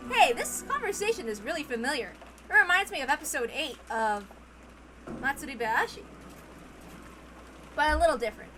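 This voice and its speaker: A woman's voice: high-pitched, sharp tone, a little hiss or hum. A woman reading a visual novel aloud as dramatic story narration.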